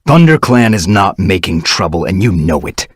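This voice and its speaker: A man's voice: low voice, very animated, moderate pace, slightly rough, dry recording, clean studio sound, full character voice. A man voicing a stern male cat clan leader in a storybook, speaking in a firm, warning way.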